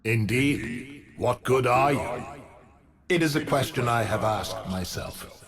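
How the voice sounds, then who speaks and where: A man playing an ancient, cold, mocking emperor villain in a video game, speaking in a measured, pompous manner. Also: mid-range voice, expressive, vocal fry, a crowd in the background. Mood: coldly amused. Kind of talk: video game cutscene acting.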